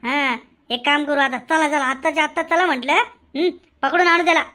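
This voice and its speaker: Woman doing a high-voiced scheming village man. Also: fairly even intonation, brisk, pushed and bright. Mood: scheming, excited. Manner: insistent, repeats phrases. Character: scheming, excitable village man hunting a fugitive. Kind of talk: cartoon comedy dialogue. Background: faint music.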